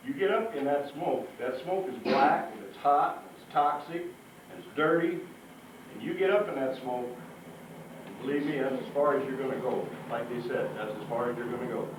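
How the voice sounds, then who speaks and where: A man with a mid-pitched voice presents fire safety in an informal, emphatic way. He is plain-spoken. The sound is distant and very echoey, with a little noise. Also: moderate pace. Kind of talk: informal safety talk to children.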